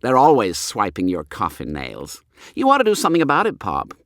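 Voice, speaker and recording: A man voicing a calm, cheeky and supercilious fourteen-year-old American boy in an audiobook. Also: lowish voice, a little fast, no echo, clean studio sound, exaggerated voice. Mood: cheeky, evasive. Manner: supercilious, coolly insolent.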